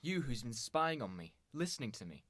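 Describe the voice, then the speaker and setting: Man acting an accusing, animated police inspector. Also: medium pitch, brisk, no echo. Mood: shocked accusation. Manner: accusing, intense. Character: indignant male police inspector confronting a killer. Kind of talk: radio drama dialogue.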